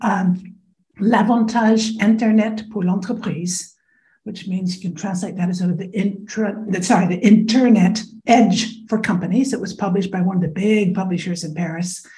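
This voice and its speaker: A woman's voice: medium pitch, fairly even intonation, moderate pace, dark tone. An American woman in her fifties, a guest speaking thoughtfully and frankly in an interview, with many small fillers. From United States (Iowa). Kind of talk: conversational interview.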